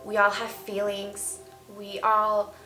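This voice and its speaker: A woman's voice: medium pitch, monotone, slow pace. A woman talking casually and candidly as a vlogger, giving emphatic, opinionated views. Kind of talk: casual opinion talk to camera. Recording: roomy echo, faint background music. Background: soft background music.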